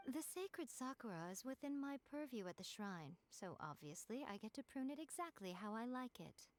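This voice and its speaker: Poised, teasing shrine priestess. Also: female voice, fairly high pitch, big pitch swings. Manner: playful, self-assured, measured. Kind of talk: scripted video game dialogue. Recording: music behind.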